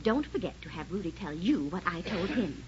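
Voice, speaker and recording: A woman's voice: expressive, moderate pace, a little hiss or hum, natural voice. An actress playing a gossipy, teasing middle-aged neighbor woman in a 1940s radio comedy, theatrical and giggly in her exclaiming. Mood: gushing. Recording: muffled, rumbling 1940s radio recording.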